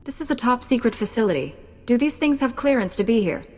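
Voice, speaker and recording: Curt, hard Jedi knight. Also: female voice, on the high side, expressive, quick pace. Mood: cold. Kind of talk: video game cutscene acting. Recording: long hall reverb, music behind.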